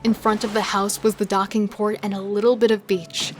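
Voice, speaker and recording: A woman's voice: medium pitch, little pitch movement, fairly quick, soft background music, natural voice. A woman playing a confident, seductive serial killer who schemes and persuades with relish in an audio drama. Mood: cool narrating. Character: cold, thrill-hungry female serial killer, confident and seductive. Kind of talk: audio drama acting with first-person narration.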